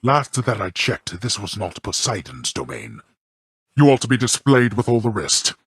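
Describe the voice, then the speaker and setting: A man playing a stern, scornful god-king of the underworld in a video game, speaking in a formal, haughty, measured way with a gravelly, husky voice. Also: on the low side, big pitch swings, dry recording, studio quality. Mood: scornful, irritated. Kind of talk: theatrical video game character dialogue.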